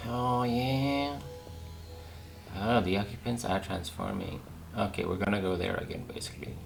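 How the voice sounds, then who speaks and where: A man's voice: fairly low pitch, steady tone, moderate pace, vocal fry. A man giving casual commentary as he plays a game, musing and hesitantly thinking aloud. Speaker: let's player. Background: game music.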